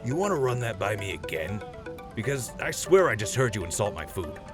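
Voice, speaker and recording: A man plays a proud male army cook in an audio drama interview. His voice is deep, his delivery earnest and matter-of-fact, over a music bed. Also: sing-song, brisk. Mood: offended. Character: proud, plain-spoken army cook sergeant.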